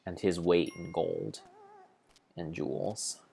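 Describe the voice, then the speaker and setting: A low-pitched man narrates gameplay as if it were a grand epic tale. His delivery is theatrical and solemnly overblown, made up as he goes, with deliberate pauses and the odd corrected word. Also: sing-song, vocal fry, warm tone, a little hiss or hum. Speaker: narrator of a video game playthrough. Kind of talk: mock-epic dramatic storytelling, improvised.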